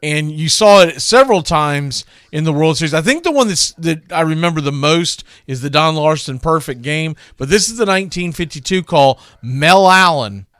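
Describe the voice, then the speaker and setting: A man's voice: a little high, lively intonation, normal speed, hardly any pauses, a bit bright, dry recording, studio quality. A man hosting a baseball radio show, introducing classic game calls in a conversational, opinionated way.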